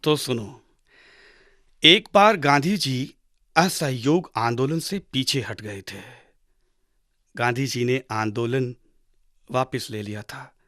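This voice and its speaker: A man playing a patient father who teaches his son in a measured, didactic way, with dramatic pauses, in a radio drama. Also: mid-range voice, sharp tone, no room sound, studio quality. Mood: earnest. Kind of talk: Hindi radio drama acting.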